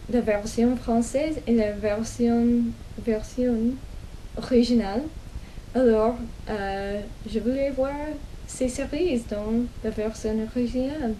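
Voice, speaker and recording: A young American woman, a native English speaker, telling her own story in a casual vlog, with slow hesitant speech full of pauses and restarts. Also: on the high side, warm tone, slight background noise, low-quality recording. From United States. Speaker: language vlogger. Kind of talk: casual personal storytelling in learned French.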